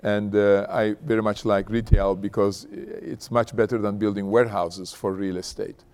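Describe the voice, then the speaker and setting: Low-voiced man giving an informal talk. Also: level tone, a little mellow, clear, resonant tone. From Istanbul, Turkey. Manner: conversational, halting, repeats "you know". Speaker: company founder, guest speaker. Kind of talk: informal autobiographical talk to an audience.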